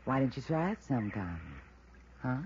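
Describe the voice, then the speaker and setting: An actress playing a bold, willful, flirtatious socialite in a teasing 1950s radio drama style. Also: female voice, extremely low pitch, sing-song, moderate pace. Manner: teasing, coy, self-assured. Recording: dull, noisy old radio recording.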